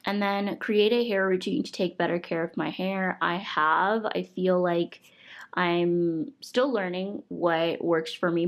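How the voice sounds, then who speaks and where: A young woman with a stuffy, congested voice talks casually to camera. Her voice sits a little low for a woman, and she chats along in an upbeat, thankful way, with plenty of fillers. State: congested from a cold. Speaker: vlogger reviewing monthly goals.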